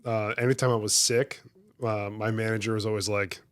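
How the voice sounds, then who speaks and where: A young man co-hosting a casual podcast while sick, his voice deep and groggy from a cold. He talks in a relaxed, dry-humored way. The recording is close and dry. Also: a little fast, no room sound. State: sick, with a cough and deep morning voice. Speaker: podcast co-host. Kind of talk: casual chat.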